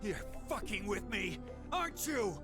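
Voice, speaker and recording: A woman's voice: mid-range voice, very animated, a little slow, loud music behind the voice. An actress playing a bitter, distressed woman in a thriller game, in video game cutscene style. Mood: angry, bitter.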